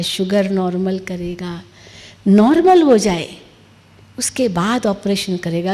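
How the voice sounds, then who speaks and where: A long hall reverb surrounds a woman delivering a spiritual discourse. Her voice sits fairly high yet has a mellow tone, and she speaks very slowly. She teaches through rhetorical questions and deliberate repetition. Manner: didactic, asks rhetorical questions and answers them, repeats phrases for emphasis. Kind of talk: spiritual discourse with everyday analogies and parables.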